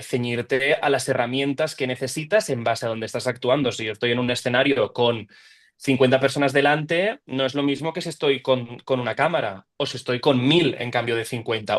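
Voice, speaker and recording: A man's voice: mid-range voice, fairly even intonation, fairly quick. A young adult man from Barcelona, an actor answering interview questions in a thoughtful, explaining way. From Barcelona, Spain. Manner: thoughtful, explains at length with restarts. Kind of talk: interview conversation.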